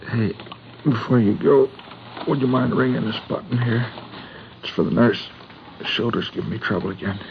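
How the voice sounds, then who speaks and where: A man acting as a wounded schoolteacher in his thirties in a 1950s radio drama, halting, pained and earnest. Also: mid-range voice, vocal fry, close to an everyday voice. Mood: weak, uncomfortable. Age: 30s. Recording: muffled, hissy vintage radio recording.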